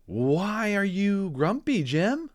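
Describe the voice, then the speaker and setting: A man's voice: high voice, sing-song, slow pace, dry recording, clean studio sound, voice pushed up, heavily acted. A man voicing cheerful, meddling storybook animals in an eager, singsong manner. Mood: bright, questioning. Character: cheerful, meddling jungle animals, chirpy and coaxing.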